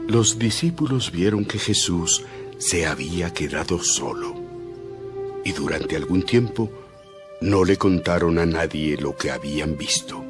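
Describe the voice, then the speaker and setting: A man narrating a dramatized Bible story in a measured, solemn scripted style. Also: low-pitched, lively intonation. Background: prominent music.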